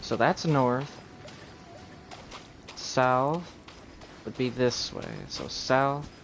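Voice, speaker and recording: A man's voice: medium pitch, drawn-out words. A man streaming a game with casual, offhand commentary, often muttering to himself as he thinks things through. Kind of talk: casual live gameplay commentary. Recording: noisy live stream with game audio.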